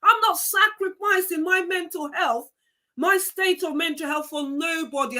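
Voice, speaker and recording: Bright, emphatic woman host. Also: high voice. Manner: emphatic and preachy, repeats key phrases. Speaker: live show host. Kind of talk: live-stream motivational talk, reading viewer comments.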